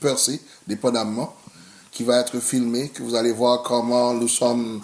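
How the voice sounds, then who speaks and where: A man with a mid-range voice wraps up a podcast in a relaxed, playful way, tossing in an English phrase now and then. The delivery is easy and conversational. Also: mid-range voice, unhurried. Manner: playful, mixes in English words. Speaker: podcast host. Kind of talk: podcast sign-off.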